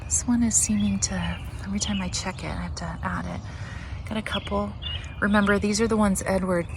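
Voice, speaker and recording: Lady chatting through a garden walk, bit of gravelly fry, clear outdoor noise and birds behind her. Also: mid-range voice, fairly even intonation, a little fast. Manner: chatty, thinking aloud. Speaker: garden vlog host. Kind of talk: casual walking garden tour commentary. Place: outdoor backyard vegetable garden. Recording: noisy outdoor ambience with birdsong. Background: birds and outdoor ambience.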